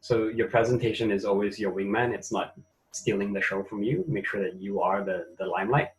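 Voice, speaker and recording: A man from Malaysia with a Malaysian accent, co-hosting an online webinar in a relaxed manner with frequent fillers, speaking over a video call. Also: on the low side, a little fast, rough recording. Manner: relaxed, thinks aloud with fillers. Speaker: webinar co-host. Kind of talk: casual online webinar talk.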